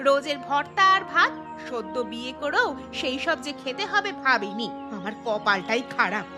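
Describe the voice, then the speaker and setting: A high, sharp-tongued young village bride from a cartoon , acted by a woman. She nags and grumbles with open sarcasm, never content with her lot. Music plays clearly behind her. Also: sing-song, normal speed. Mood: bitter complaining. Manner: sarcastic, complaining. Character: discontented, nagging young newlywed village wife. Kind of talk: Bengali cartoon voice acting.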